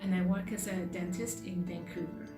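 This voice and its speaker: Woman, 50s, mid-pitched, earnest and considered, roomy sound with music under her. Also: steady tone, normal speed. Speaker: dentist, trial volunteer. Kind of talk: recorded personal statement.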